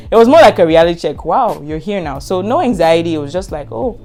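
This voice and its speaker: A woman's voice: lowish voice, animated, a little fast, a little mellow, soft background music. A Nigerian woman in her twenties, a content creator answering viewer questions in a casual vlog, frank and emphatic, repeating words for stress. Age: 20s.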